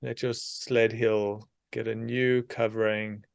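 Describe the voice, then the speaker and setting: Slow, smooth-voiced man guiding meditation. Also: on the low side, little pitch movement, no room sound. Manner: soothing, unhurried, with long pauses between short phrases. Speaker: meditation guide, therapist. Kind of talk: guided meditation.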